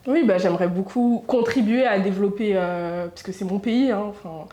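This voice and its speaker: Twenties woman, mellow warm tone, thoughtful, a bit hesitant, documentary interview. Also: mid-range voice, normal speed, slight background noise. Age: 20s. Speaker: interviewee, recent business graduate.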